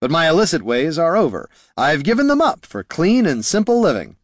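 A man's voice: medium pitch, big pitch swings, normal speed, fairly bright tone, no echo, studio quality, pitched above the performer's own voice. A man voicing a sly, wordy bard and reformed thief in a fantasy audiobook, slick, theatrical and self-justifying. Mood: defensive.

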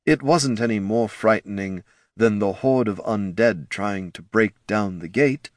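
A man's voice: on the low side, unhurried, dry recording, clean studio sound, natural voice. Man as a blunt, serious knight commander. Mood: puzzled, blunt. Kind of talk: fantasy audiobook character voice.

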